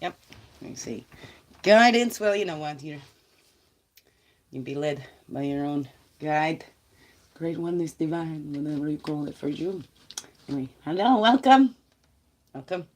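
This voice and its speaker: Low-voiced stuffy chatty woman. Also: expressive, measured pace, pauses often. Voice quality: stuffy, nasal. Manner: rambling, chatty, laughs often. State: congested. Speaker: spiritual guidance vlogger. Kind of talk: casual livestream talk.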